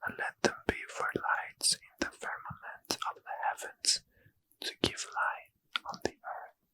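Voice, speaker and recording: Slow whispering man, dry and close. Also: no room sound, rough recording. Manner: soft unvoiced whisper, slow and measured. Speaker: ASMR reader. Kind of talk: whispered ASMR bedtime scripture reading.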